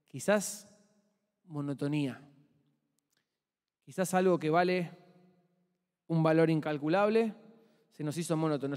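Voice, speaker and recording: A young Argentine man preaches in a casual, talkative way and keeps putting questions to the congregation. His voice sits high for a man. It rings with a long reverb from a church hall. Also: warm tone. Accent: Argentine Spanish. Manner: chatty, asks the congregation questions. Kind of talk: conversational sermon.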